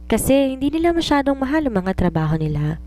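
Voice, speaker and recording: A woman's voice: high-pitched, big pitch swings, fast, mellow, voice pushed up. A woman voicing a confident romance writer in an audiobook, giving earnest, instructive advice like a mentor. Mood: preachy. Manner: earnest, instructive, self-assured. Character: confident, preachy woman romance writer advising her maid. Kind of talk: audiobook character dialogue.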